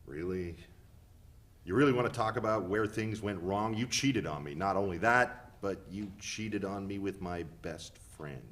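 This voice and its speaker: A man in a dramatic play reading, playing a hurt, defensive partner who speaks in a guarded, deliberate way. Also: sits low, fairly bright tone. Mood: accusing, defensive.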